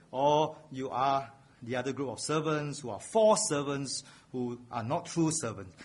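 A man's voice: mid-range voice, husky. A man preaching a sermon, earnest, repeating a refrain and building to raised emphasis.

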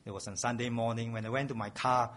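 A man's voice: medium pitch, fairly even intonation, fast, a bit bright. A man preaching a sermon, earnest, repeating a refrain and building to raised emphasis.

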